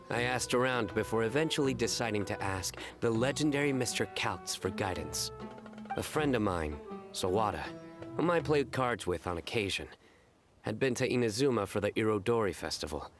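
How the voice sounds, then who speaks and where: A man voicing a stoic, earnest young man in an anime game, speaking in a formal, solemn deadpan. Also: lowish voice, close to an everyday voice. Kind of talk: anime game dub acting.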